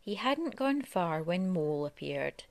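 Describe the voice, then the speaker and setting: A woman reading a children's story aloud in an expressive way, like a parent at storytime. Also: fairly low pitch, unhurried, dry recording. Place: living room at home.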